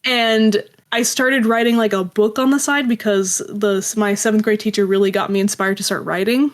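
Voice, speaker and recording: A woman's voice: medium pitch, steady tone, moderate pace. A woman telling personal anecdotes as an interview guest in a casual, rambling, self-deprecating way. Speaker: interview guest, audio drama creator.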